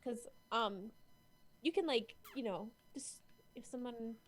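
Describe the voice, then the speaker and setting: A woman's voice: a little high, slow, low-quality recording. A woman playing a tabletop game, gushing and teasing in a playful way. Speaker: tabletop player in character. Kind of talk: casual roleplay chat.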